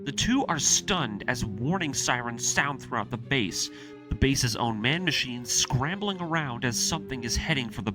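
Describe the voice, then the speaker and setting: A man narrating a scripted story recap with personal commentary, in an emphatic, enthusiastic fan manner. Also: medium pitch. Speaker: anime video essayist and host. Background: music.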